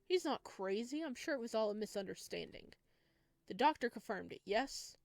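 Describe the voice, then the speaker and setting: A woman's voice: on the high side, expressive, normal speed, vocal fry, dry recording, studio quality. Woman reading an insistent, anxious parent. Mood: worried, insistent. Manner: insistent, pressing. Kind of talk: visual novel character reading. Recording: music behind the voice.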